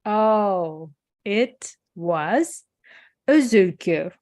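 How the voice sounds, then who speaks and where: A middle-aged woman from Turkey, a coach and meditation teacher, speaking warmly on a radio interview, laughing easily and pausing with many fillers. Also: mid-range voice, expressive, dry recording, clean studio sound. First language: Turkish.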